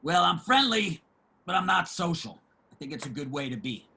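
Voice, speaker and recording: A man playing a streetwise homeless man in a play reading, generous and opinionated, with salty, emphatic street talk and a husky voice. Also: fairly high pitch, very animated, normal speed, sharp tone, full character voice. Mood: friendly. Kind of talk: dramatic table reading of a stage play.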